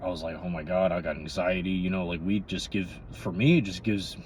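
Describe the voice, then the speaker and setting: A man's voice: fairly even intonation, fairly quick, darkish tone. A man in his twenties giving a casual, candid, rambling monologue to viewers, often checking in with "you know.". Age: 20s, twenty-three. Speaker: vlogger. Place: inside a parked or running car. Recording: noisy, vehicle rumble inside car.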